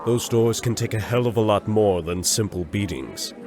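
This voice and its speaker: An actor playing a massive, gruff military commander, blunt and unflappable, in a fantasy audio drama. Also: male voice, fairly low pitch, moderate pace, loud music behind the voice, close to an everyday voice. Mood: calm, blunt.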